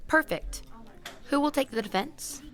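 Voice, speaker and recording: A woman's voice: sits high, lively intonation, measured pace, a bit bright. A woman playing a formal, matter-of-fact court bailiff in a fantasy audio drama, explaining procedure officially. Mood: businesslike.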